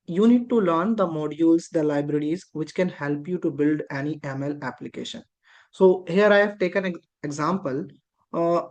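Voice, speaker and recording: Instructor, patient and repetitive, mid pitch. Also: a little mellow. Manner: patient, repetitive explaining with frequent rhetorical questions. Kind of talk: explaining a lesson.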